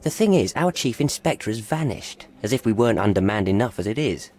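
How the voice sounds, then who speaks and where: An actor playing a chatty, affable and put-upon police desk officer in a video game, informal and wry. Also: male voice, on the low side, expressive, brisk, a little mellow.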